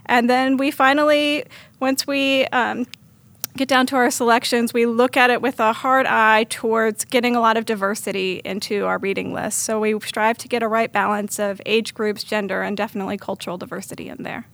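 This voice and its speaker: A woman's voice: on the high side, little pitch movement, normal speed, gravelly, fairly bright tone. A woman answering as an interview guest, explaining things conversationally as an editor.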